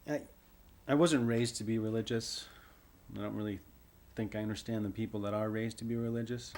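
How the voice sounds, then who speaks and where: A man playing an uneasy storyteller in an audio drama, recounting a creepy encounter in a halting, conversational way and repeating himself. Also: on the low side, steady tone, darkish tone, close to an everyday voice. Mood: bemused, casual. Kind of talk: audio drama acting, rambling recollection.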